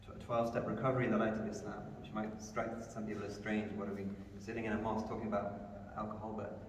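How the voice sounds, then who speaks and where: A man hosting an event, informally introducing and interviewing a guest, haltingly repeating and rephrasing his phrases. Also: lowish voice, level tone. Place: large echoey room in a mosque. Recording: echoey hall, distant mic, faint noise.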